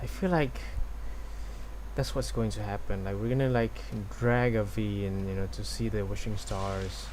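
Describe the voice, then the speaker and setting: A young man from Malaysia giving casual live gameplay commentary, thinking aloud in a halting way. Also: fairly low pitch, steady tone, noisy background. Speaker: game streamer. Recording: game music behind the voice. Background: game music.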